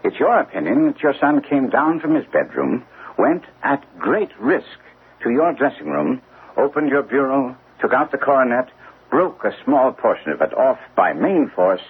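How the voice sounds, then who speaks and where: An actor playing a composed, analytical gentleman detective in a classic radio drama, speaking in a deliberate, questioning way. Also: medium pitch, sing-song, breathy edge. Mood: incisive, measured. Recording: tinny, noisy old radio recording.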